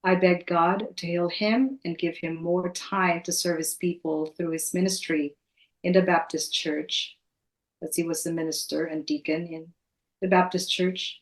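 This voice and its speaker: A middle-aged woman from the Philippines, giving an earnest personal testimony in a measured, sincere way. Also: fairly low pitch. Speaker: guest, elementary teacher. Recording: echoey room.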